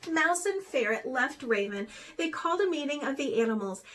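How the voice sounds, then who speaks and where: Woman, high voice, teacher, expressive storybook read-aloud. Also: moderate pace, slight background noise.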